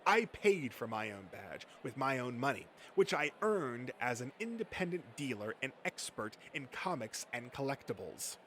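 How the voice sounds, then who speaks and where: A man's voice: mid-range voice, big pitch swings, moderate pace, few pauses, a bit bright. A man voicing a pompous, defensive comics collector with a wordy, sneering delivery in an amateur comic dub, on a slightly noisy recording. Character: Bill, pompous, defensive male comics collector and mocking rival.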